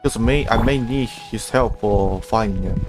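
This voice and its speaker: A man giving casual livestream game commentary, halting as he thinks aloud. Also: lowish voice. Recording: game music behind the voice.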